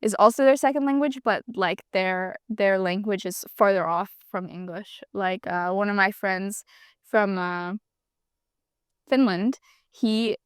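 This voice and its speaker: Casting note: mid-pitched woman from Massachusetts, smooth clear voice, hedging podcast co-host, dry close mic. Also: unhurried, no room sound. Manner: hesitant, hedging. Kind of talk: casual chat.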